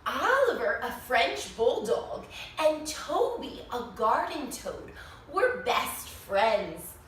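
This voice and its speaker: A woman reading a picture book to young children in an expressive, sing-song way, pausing to explain things and ask questions. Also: high-pitched. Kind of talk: animated read-aloud story for young children. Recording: very echoey room, distant mic, faint noise.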